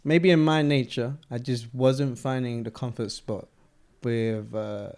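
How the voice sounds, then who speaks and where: Young man, smooth voice. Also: mid-range voice, measured pace. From Colombia. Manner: relaxed, rambling, laughs often. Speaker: interviewee. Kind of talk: casual interview chat.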